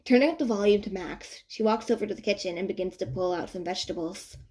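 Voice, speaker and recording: A woman reading fan fiction as an audiobook narrator, expressive and conversational with playful emphasis. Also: mid-range voice, fast talker. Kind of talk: expressive scripted story narration.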